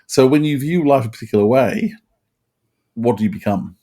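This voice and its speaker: Earnest man with a mellow voice. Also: mid-range voice, moderate pace. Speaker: podcast panelist. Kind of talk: reflective discussion.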